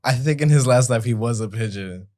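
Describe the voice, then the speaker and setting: A young man from Montreal hosting a podcast, playful and animated in casual chat. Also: medium pitch, fairly quick. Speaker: podcast host.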